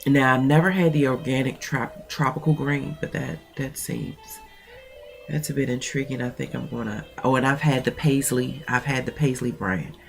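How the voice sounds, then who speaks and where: A woman's voice: extremely low pitch, steady tone, moderate pace, creaky, faint music behind. A woman mentoring coach with a stuffy nose, talking in a chatty, rambling way with many fillers and reading aloud from her own notes. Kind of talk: casual talk and reading aloud.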